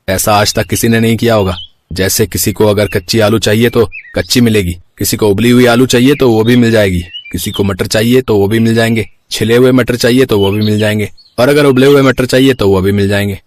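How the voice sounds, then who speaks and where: A man's voice: on the low side, a little hiss or hum, lightly acted. A man voicing an earnest, determined young village vegetable seller in a cartoon story, speaking in resolute declarations.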